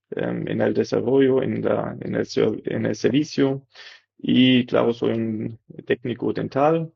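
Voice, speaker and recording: A man, a dental technician and developer, explaining a product in halting with many fillers and tag questions. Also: mid-range voice, vocal fry, darkish tone, breathy edge, no room sound, muffled recording.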